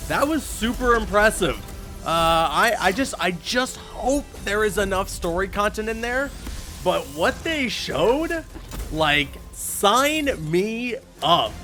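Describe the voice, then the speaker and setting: A man giving casual, excited reaction commentary as a video host, emphatic and given to repeating himself. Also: sits high. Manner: enthusiastic, emphatic, repeats phrases. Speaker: YouTube host reacting to a game showcase.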